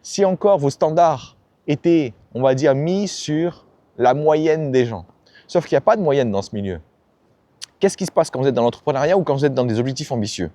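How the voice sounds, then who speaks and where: A man's voice: mid-range voice, lively intonation, normal speed, dark tone, dry recording. A man giving a blunt, slangy motivational talk about ambition and failure, often acting out the people he quotes. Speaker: self-help YouTuber. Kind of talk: casual motivational monologue.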